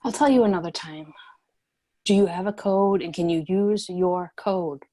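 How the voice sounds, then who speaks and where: A woman's voice: on the low side, normal speed, dark tone, natural voice. A woman playing a wary, assertive leader of refugees, direct and questioning, in a screenplay table read. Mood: wary, pressing.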